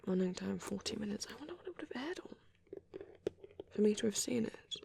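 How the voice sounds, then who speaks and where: A man doing a soft, often whispered ASMR roleplay as a shy, gentle young vampire boyfriend, rambling hesitantly in a breathy, husky voice. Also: high voice, lively intonation, brisk, slight background noise.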